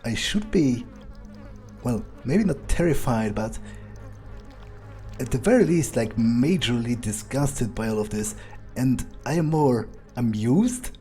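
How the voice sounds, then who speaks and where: Slow, mellow man musing aloud. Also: mid-range voice, animated, soft background music. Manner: hesitant musing, dry understatement, casual swearing. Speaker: video game player and host. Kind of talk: in-character game commentary, thinking aloud.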